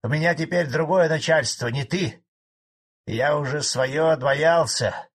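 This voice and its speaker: A man voicing a spiteful old male collective farmer who rants gruffly and defiantly. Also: a little high, a little slow, voice pushed up. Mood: angry.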